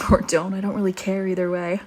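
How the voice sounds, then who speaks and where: Woman acting as a teasing vampire girl, playful and predatory, breathy murmurs, intimate roleplay, bit of noise behind. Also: mid-range voice, flat delivery, brisk, darkish tone, close to an everyday voice. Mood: smug, taunting. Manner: playful, taunting, breathy murmurs between casual banter.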